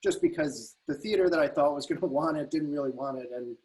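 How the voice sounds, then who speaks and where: Man talking haltingly about his writing. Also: fairly high pitch, darkish tone, low-quality recording. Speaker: playwright. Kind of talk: reflective casual discussion of own writing. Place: indoor video call. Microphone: computer video call.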